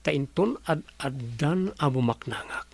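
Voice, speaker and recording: A man's voice: medium pitch, expressive, measured pace, gravelly, husky, no echo, full character voice. A man in a radio drama playing a smug, scheming rich man who is greedy for more wealth.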